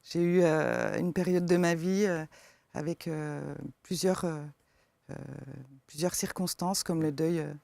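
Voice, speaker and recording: A woman from eastern France with a slight eastern French accent, answering interview questions as a forest therapy guide, soft-spoken and hesitant with many fillers. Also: lowish voice, fairly even intonation, measured pace, gravelly, dry recording.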